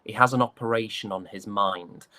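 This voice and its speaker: A young British man chatting casually as an interview guest, an actor recounting his roles in a hesitant, halting way. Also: lowish voice, sharp tone, dry recording. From United Kingdom.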